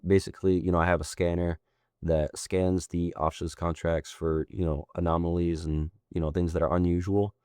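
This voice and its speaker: A man working as a trading mentor, hoarse from being sick, walking through a chart in a loose, rambling way with frequent tag questions. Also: low-pitched, darkish tone, no room sound, studio quality. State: hoarse, sick and losing his voice. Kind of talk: casual chart walkthrough.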